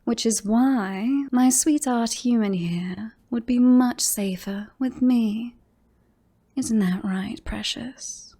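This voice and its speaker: A woman's voice: fairly high pitch, gravelly, warm tone, full character voice. A woman playing a smug, possessive female werewolf in an ASMR roleplay, teasing and mocking as she coaxes her beloved human. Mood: smug, threatening. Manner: teasing, mocking, drawn-out coaxing delivery.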